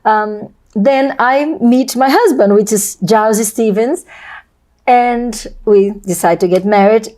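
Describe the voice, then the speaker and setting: Mellow, effusive Brazilian woman host. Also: fairly high pitch, slow pace. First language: Portuguese. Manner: effusive, wordy, repeats phrases. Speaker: host of a video channel. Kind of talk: casual conversational interview.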